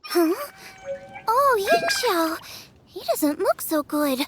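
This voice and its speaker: A woman's voice: very high-pitched, sing-song, slow pace, soft background music, heavily acted. A woman voicing a spirited, eager teenage girl chef in a video game, bubbly and often thinking aloud to herself. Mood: puzzled. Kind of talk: animated game character acting.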